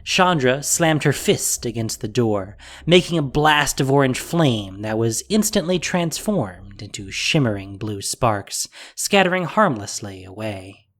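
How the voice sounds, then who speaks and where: A man's voice: medium pitch, big pitch swings, a little slow, few pauses, fairly bright tone. A man narrating a fantasy audio drama in a scripted, dramatic storytelling style.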